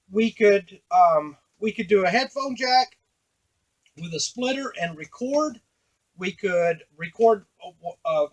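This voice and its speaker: A man's voice: high voice, low-quality recording. A man giving a casual, unscripted tutorial on a device, explaining step by step to the viewer as he works. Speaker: device demonstrator.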